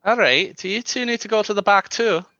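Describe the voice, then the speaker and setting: A man's voice: high voice, a little fast, sharp tone, no room sound. A man playing an easygoing, awkward bartender who talks casually and hesitantly in a radio comedy, on a dry, dull recording. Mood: friendly, awkward. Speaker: actor in a comedy radio drama. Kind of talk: naturalistic radio comedy-drama acting.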